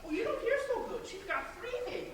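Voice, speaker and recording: Stage mother, played by a woman: high, very animated, bossy and warm, heard far off in an echoey theatre. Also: fast talker. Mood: chatty teasing. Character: warm, bossy, meddling middle-aged mother. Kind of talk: amateur stage play acting. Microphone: far camera. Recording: distant camera, echoey theatre, noisy.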